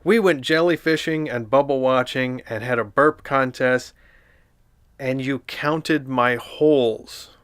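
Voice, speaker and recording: A man's voice: mid-range voice, slow, a bit bright, clear, resonant tone, no echo, clean studio sound. A man voicing a cartoon sponge as a bratty, whiny kid, reading from a children's storybook. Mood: excited.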